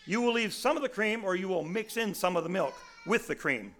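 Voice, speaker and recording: A raspy-voiced man in his sixties preaches with a bright, pressed edge to his voice. He tells homespun stories in a folksy way, often restarting his sentences, and his voice sits a touch higher than most men's. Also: expressive, brisk. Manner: folksy storytelling, many restarts. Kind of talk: sermon.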